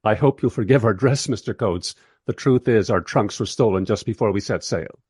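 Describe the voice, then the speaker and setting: An actor playing a wry, polite traveller who narrates and talks in a radio play, with a husky voice. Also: male voice, lowish voice, moderate pace, a little mellow. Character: wry male traveller narrating his awkward visit. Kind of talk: first-person radio play narration and dialogue.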